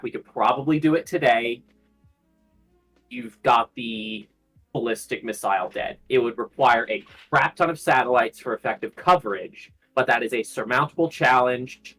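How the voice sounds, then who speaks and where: A man's voice: medium pitch, fairly bright tone, low-quality recording. A man in a casual speculative discussion on a livestream panel, repeating phrases as he reasons things through.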